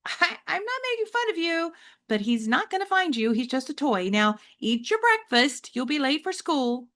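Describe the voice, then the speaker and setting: Woman doing a gentle, flustered storybook mom. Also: sits high, sing-song, moderate pace, pitched above the performer's own voice. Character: patient, apologetic middle-aged mother.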